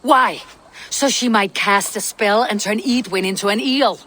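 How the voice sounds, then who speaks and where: A woman's voice: mid-range voice, a little slow, bright, forceful tone. An actress playing a blunt, loyal Viking warrior woman in a game cutscene, terse and sardonic, with a wary edge. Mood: defiant, sardonic. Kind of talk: video game cutscene dialogue.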